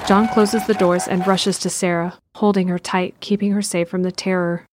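A woman's voice: lowish voice, flat delivery, normal speed, a little mellow, slight background noise. A woman reading scripted narration for an audio drama. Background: faint music.

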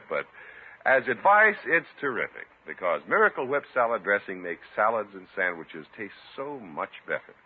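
A man's voice: mid-range voice, phone-quality sound. A man working as a 1950s radio announcer, reading scripted narration and advertising with a polished, emphatic salesman's delivery. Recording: thin, husky-sounding old radio band.

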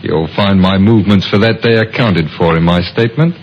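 An actor playing a smooth, over-cooperative murder suspect in an old-time radio mystery, polite, glib and at ease. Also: male voice, deep voice, fairly quick, close to an everyday voice. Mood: smoothly compliant. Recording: muffled, noisy vintage radio recording.

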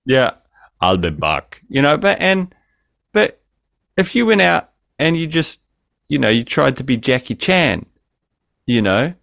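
A man's voice: mid-range voice, big pitch swings, unhurried, gravelly, long pauses, fairly bright tone, no room sound, clean studio sound. A man co-hosting a podcast by remote call, joking crudely and swinging between mumbling and exclaiming. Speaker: podcast co-host. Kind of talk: casual podcast banter. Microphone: remote call mic.

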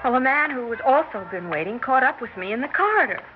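A woman's voice: high-pitched, expressive, fast. An old radio recording, dull and lightly hissy, carries a young would-be actress. She is earnest, persistent and talkative, stumbling a little as she pleads her case in sixties radio drama style. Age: young adult. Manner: earnest, flustered, stammering plea. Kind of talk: 1960s American radio drama acting.

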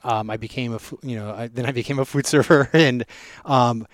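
A man of ordinary pitch answers interview questions, thoughtful and measured, often restarting his sentences. The sound is dry and close, with no room around it. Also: steady tone, dry recording. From Southern California, raised in Las Vegas. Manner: measured, frequent restarts and "you know". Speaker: restaurant group president.

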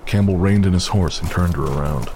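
A man narrating a western horror story in a measured, steady way. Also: low-pitched, slight background noise.

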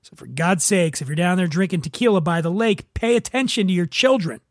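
A man's voice: high voice, expressive, fairly quick, no room sound, clean studio sound. A man hosting a podcast, giving a semi-scripted review in a conversational way with wry, joking asides. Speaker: podcast host reviewing spirits.

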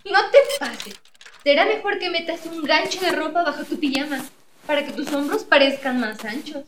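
A woman's voice: high-pitched, normal speed, reverberant, noisy background, natural voice. A woman playing a bossy, suspicious wife scared of burglars, nagging urgently and scornfully in a comedic radio play. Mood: scolding, scornful.

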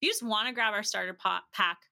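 A woman's voice: mid-range voice, expressive, quick pace, vocal fry, pushed and bright. A woman chatting informally as an interview guest, playful and easily amused. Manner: playful, informal, breaks into laughter. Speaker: podcast guest, language-learning business representative. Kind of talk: casual interview chat.

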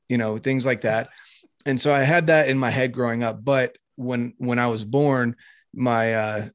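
A man's voice: medium pitch, no room sound, clean studio sound. A man from North Carolina in casual podcast chat, talking at length with frequent laughs and fillers. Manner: chatty, laughs often, lots of fillers.

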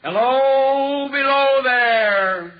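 A reporter calls out from a height in a 1940s radio play. His voice is pitched very high and the words are stretched slowly, on a dull, aged recording. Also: full character voice. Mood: calling out. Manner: calling out in drawn-out shouts. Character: reporter hailing loudly from atop a ravine.

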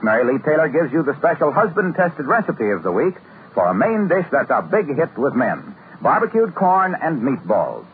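A man announcing for a sponsor in the style of 1950s radio. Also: on the high side. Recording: tinny, noisy old radio recording.

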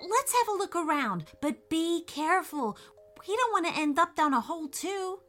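A woman acts out an enthusiastic young storybook boy, high and bright in voice. He is kindly and adventurous, bouncing through his words with lively pitch. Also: normal speed, soft background music, full character voice. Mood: friendly, careful. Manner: excited, reassuring. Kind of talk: children's storybook character voice.

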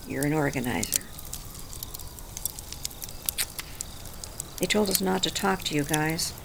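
A woman's voice: low-pitched, moderate pace, creaky, noisy background. An actress playing a proud, weary older American working woman in an audio drama, defensive and sardonic, with blunt folksy talk. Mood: resigned, uneasy.

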